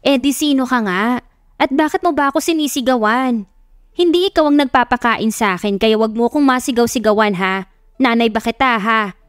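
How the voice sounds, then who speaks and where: Feisty, excitable young female gossip as a woman narrator plays her, high voice, roomy echo, music underneath. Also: moderate pace, clear, resonant tone, studio quality, lightly acted. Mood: indignant.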